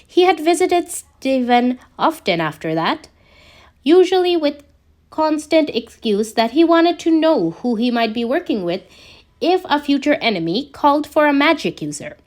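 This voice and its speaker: A woman's voice: high voice, very animated, normal speed, a little mellow. A woman reading a scripted piece of fan fiction aloud as a storyteller. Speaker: podfic narrator. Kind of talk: scripted fan fiction narration, read slowly.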